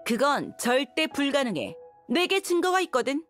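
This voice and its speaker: High-voiced, confident swordswoman. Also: big pitch swings, moderate pace, a bit bright, soft background music. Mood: firm. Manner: self-assured, frank, reasoning firmly. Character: confident, seasoned young female mercenary swordswoman. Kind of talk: video game voice acting.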